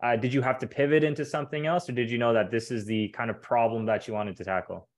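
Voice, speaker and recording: A man's voice: medium pitch, fairly even intonation, fast. A man hosting a podcast interview in a casual, chatty, informal way.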